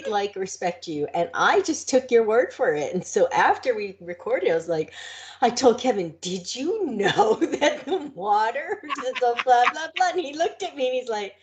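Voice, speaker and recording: A woman's voice: on the high side, big pitch swings, measured pace. A woman co-hosting a podcast, chatting casually and laughing often. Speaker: podcast co-host. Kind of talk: casual chat.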